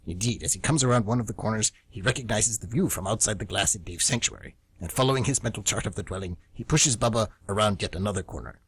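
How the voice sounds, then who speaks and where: A man with a husky voice reading scripted, dramatic story narration for a radio theatre show. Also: fairly low pitch, fast talker, hardly any pauses, no room sound. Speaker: narrator and co-host.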